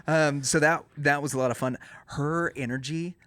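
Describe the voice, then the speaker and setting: A man's voice: on the high side, steady tone, moderate pace, a bit bright, no room sound. A man co-hosting a podcast in a casual, enthusiastic chatty style. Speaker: podcast co-host.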